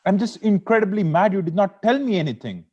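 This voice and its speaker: A man's voice: high voice, big pitch swings, fairly quick, a little mellow, breathy edge, exaggerated voice. A man playing an aggrieved, sulky husband in an amateur script table read, over a dull lo-fi call.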